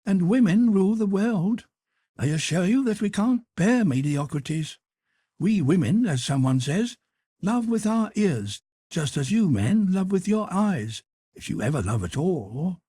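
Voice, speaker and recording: A man's voice: high-pitched, big pitch swings, dark tone, husky, no echo, voice pushed up, full character voice. A man reading a novel aloud, voicing a witty, teasing aristocratic duchess with an arch manner. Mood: playful. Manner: arch, teasing, quick-witted banter. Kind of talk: audiobook reading.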